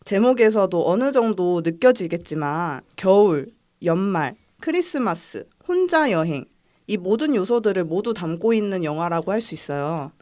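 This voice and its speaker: A young woman hosting a podcast, chatting casually and presenting a film recommendation in a calm, neat way. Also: on the low side, moderate pace. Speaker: podcast host.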